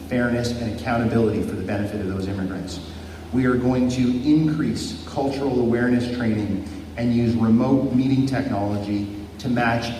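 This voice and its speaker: Formal man in a reverberant hall. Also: medium pitch. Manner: formal, policy-focused, with occasional fillers. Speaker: election candidate and lawyer. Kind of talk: public debate answer. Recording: echoey hall from far off, noisy.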